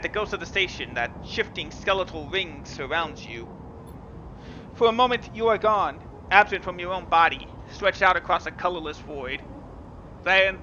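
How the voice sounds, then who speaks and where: A man's voice: sits high, sharp tone, slight background noise. A man hosting a gaming video, reading game text dramatically with casual asides. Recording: dull lo-fi sound with game music.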